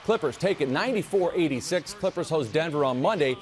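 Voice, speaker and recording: A man anchoring a local TV sports desk, reading highlights in a brisk, punchy manner. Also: expressive, a little hiss or hum. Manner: brisk, punchy play-by-play delivery.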